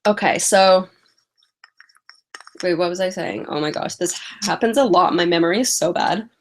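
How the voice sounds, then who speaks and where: A woman's voice: fairly low pitch, moderate pace, a little hiss or hum. A young woman vlogger chatting casually on a live stream, stuttering and repeating the first sounds of words.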